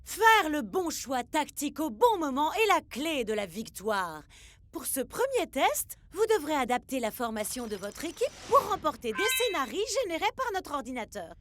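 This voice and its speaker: High, lively, formal cartoon examiner. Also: female voice, moderate pace, a little hiss or hum. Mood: formal, challenging. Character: formal female examiner running a coaching test. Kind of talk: French cartoon dub acting.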